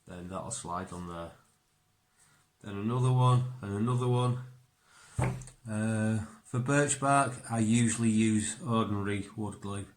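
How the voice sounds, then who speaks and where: A man's voice: fairly low pitch, unhurried. A man who is a native English speaker, giving a casual hands-on bushcraft tutorial and thinking aloud informally. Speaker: bushcraft instructor.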